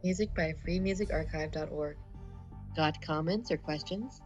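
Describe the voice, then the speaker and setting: A woman's voice: low-pitched, moderate pace, darkish tone, soft background music. A woman reading scripted end credits as a podcast narrator, in a lo-fi recording.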